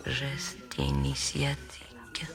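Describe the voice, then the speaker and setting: A woman reciting poetry in an experimental sound-art piece, deliberate and often slipping into a whisper. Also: very deep voice, flat delivery, creaky. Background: music.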